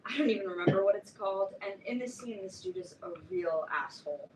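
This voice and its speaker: A woman in a staged reading playing an opinionated, excitable teenage fan club girl, chatty and emphatic. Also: medium pitch, fast talker, a loud crowd, close to an everyday voice. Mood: earnest, worried. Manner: chatty, emphatic, peppered with like and literally. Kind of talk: staged reading of a play, naturalistic dialogue. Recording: roomy, distant mic, faint noise.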